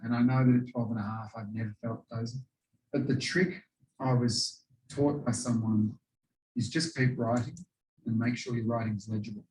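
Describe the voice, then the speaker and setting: A man with an Australian accent, a pilot answering audience questions in a relaxed, chatty way full of "you know". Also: medium pitch, normal speed, far from the mic, a little hiss or hum. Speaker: pilot speaking to a historical society. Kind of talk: casual question-and-answer talk. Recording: roomy echo, voice away from the mic.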